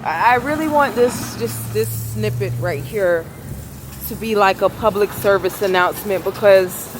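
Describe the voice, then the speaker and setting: A woman vlogger with a velvety, raspy voice, speaking softly in a rambling monologue outdoors on a city street. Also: mid-range voice, unhurried, noisy background. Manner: soft-spoken, rambling, trying to talk louder. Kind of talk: casual monologue.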